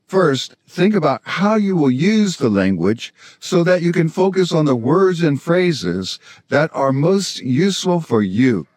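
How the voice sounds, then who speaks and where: A man in his sixties from South Florida with an American accent, presenting scripted language-learning instruction in a measured, explanatory way. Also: on the high side, big pitch swings, darkish tone, dry recording, studio quality. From South Florida, United States. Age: 60s. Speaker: language-learning video host, polyglot. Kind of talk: scripted instructional narration to camera.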